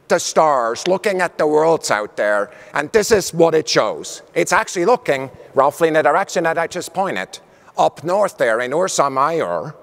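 Brief: middle-aged Swiss man, animated stage keynote, gravelly slightly high voice, long reverb of a big hall. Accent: Swiss German. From Switzerland. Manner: animated, gesturing while speaking on stage. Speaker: keynote speaker. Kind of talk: conference keynote talk. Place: large conference hall stage. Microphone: lapel mic.

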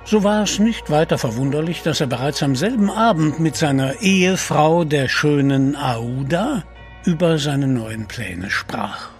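Male narrator, slightly high pitch, scripted drama narration, measured, literary, faint music. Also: expressive.

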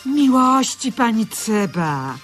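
An actress playing a wistful, philosophizing woman in a radio drama, dreamy and deliberate. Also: on the high side, very animated. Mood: earnest, wistful.

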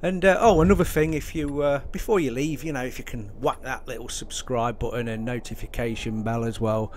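A man's voice: medium pitch, steady tone, a little fast, a little hiss or hum. A man hosting a gaming video, chatting casually in a polite, rambling, hesitant way and often repeating himself. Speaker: gaming YouTuber, host. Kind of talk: casual video intro commentary.

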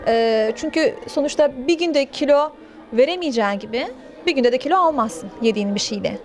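A woman's voice: high voice, animated, brisk. A woman in her thirties answering interview questions in a cafe into a handheld microphone, chatty and explaining at length. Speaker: interviewee, actress. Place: pink-walled cafe indoors. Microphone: handheld interviewer mic.

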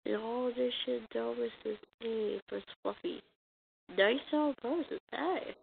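A woman reading a comic dub as an amateur voice actor, acting out the dialogue in a halting, stumbling way on a muffled, noisy recording. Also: fairly high pitch, gravelly. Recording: muffled lo-fi recording with noise and clicks.

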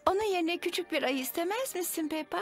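High, lively cartoon mummy pig. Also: female voice, fast talker. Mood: gentle, determined. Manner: clear, motherly, matter-of-fact. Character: sensible, gentle mummy pig. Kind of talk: children's cartoon dub.

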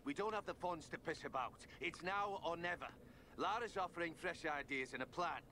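Forceful, commanding male ship's captain. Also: a little high, normal speed, a little hiss or hum. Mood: firm, impatient. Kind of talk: video game cutscene acting.

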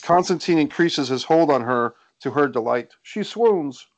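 A man's voice: on the high side, animated, measured pace. A man reading stage directions as scripted narration for a screenplay over a video call. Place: indoors on a group video call.

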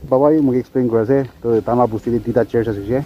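A man's voice: mid-range voice, steady tone, fast. A man from Nagaland, India, chatting casually and inquisitively as a vlogger interviewing villagers, often acknowledging what he hears. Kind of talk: casual chat. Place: outdoors on a village road. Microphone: handheld camera, behind it. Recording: dull outdoor camera audio, loud ambient noise.

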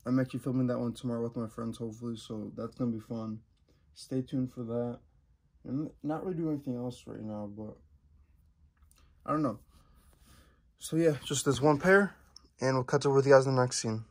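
A man's voice: mid-range voice, a little fast, long pauses, a little mellow. Casual rambling young man vlogging. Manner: casual, rambling, many fillers and restarts. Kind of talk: casual vlog chat.

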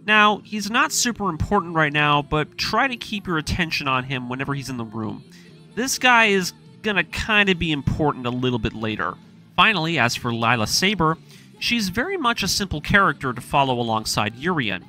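A man's voice: on the high side, sing-song, moderate pace, pushed and bright. A man hosting a scripted story breakdown, speaking in an informal, opinionated and emphatic way with casual asides. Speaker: video essay host. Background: background music.

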